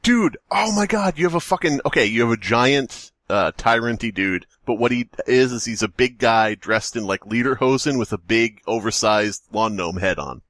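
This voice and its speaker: An excitable man in casual podcast chat, his pitch moving around a lot. He riffs loosely and swears freely, recorded close and dry. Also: normal speed, hardly any pauses, no echo. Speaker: podcast co-host. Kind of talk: casual chat about video games.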